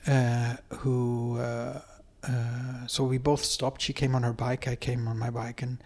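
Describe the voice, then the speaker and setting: A man's voice: medium pitch, level tone, a little slow, a little mellow. A middle-aged man from Sweden with a Swedish accent, a dance professor talking as a guest in an interview, hesitant and full of fillers as he gathers his thoughts. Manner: hesitant, many fillers and restarts. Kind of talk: conversational interview. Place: apartment.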